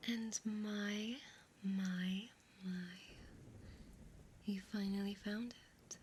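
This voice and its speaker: A woman playing an ancient, seductive and possessive female vampire in an intimate audio roleplay, speaking in a breathy, teasing whisper close to the mic. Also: mid-range voice, fairly even intonation, a little mellow, a little hiss or hum. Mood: smug, possessive. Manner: slow, drawn-out, teasing delivery.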